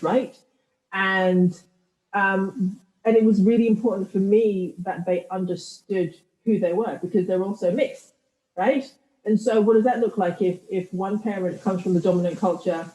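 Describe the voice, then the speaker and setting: A thoughtful woman speaks as a guest in a conversational interview, often circling back and restarting as she works through her ideas. Her voice is warm and mellow. It is recorded in a room with noticeable echo. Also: mid-range voice. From United Kingdom. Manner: reflective, thoughtful, many restarts. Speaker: guest, writer and podcaster.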